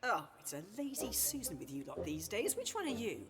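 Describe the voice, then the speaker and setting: An actress playing a wry, irreverent archaeology professor in an audio drama, brisk, sardonic and flippant. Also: female voice, a little high, wildly swooping pitch. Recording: loud music behind the dialogue.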